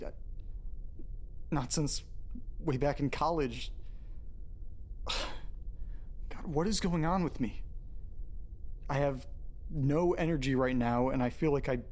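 A man playing an anxious, self-doubting character who mutters haltingly to himself in a horror audio drama. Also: a little high, darkish tone. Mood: groggy, exasperated. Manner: muttering, halting, sighing, swearing.

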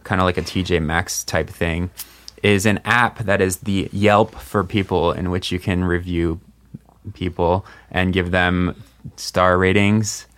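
Very deep-voiced man hosting a podcast. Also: steady tone, measured pace, fairly bright tone, dry recording. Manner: joking, rambling, many "like"s. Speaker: podcast host. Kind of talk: casual group chat.

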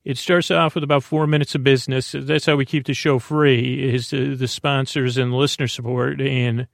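A man hosting a bedtime podcast in a rambling, meandering monologue, halting and digressive, with a gravelly, creaky voice. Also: mid-range voice, no room sound, studio quality. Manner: halting, digressive, repeats and trails off. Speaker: host of a bedtime sleep podcast.

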